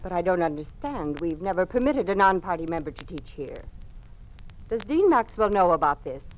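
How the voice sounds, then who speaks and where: An officious American female party secretary in a 1950s radio play. Her fairly low voice is clipped and bureaucratic, every phrase that of a functionary minding the rules. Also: very animated, normal speed, a little hiss or hum, low-quality recording. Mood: cold, suspicious. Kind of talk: 1950s radio drama acting.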